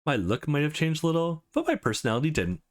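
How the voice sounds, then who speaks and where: A man's voice: on the high side, big pitch swings, fast. A man voicing an anxious, guarded young woman in a visual novel, emotive and easily exasperated. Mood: self-conscious.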